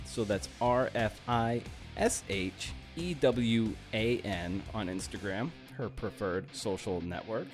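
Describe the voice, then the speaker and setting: A man hosting a podcast, speaking in a punchy, emphatic way with playful exclamations. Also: mid-range voice. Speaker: podcast host. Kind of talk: podcast intro and interviewing.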